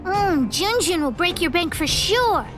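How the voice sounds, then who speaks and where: High-pitched, spirited little girl. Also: expressive, a little slow. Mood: frank, wary. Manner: childlike, frank. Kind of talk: animated game voice acting. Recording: loud music behind the voice.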